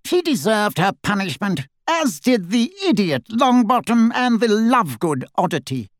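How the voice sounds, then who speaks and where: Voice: mid-range voice, sing-song, moderate pace, no echo, studio quality, voice pushed up, lightly acted. A performer voicing a cold, haughty old wizard in a portrait, with pompous, sneering, old-fashioned speech, in an audiobook. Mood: haughty, displeased.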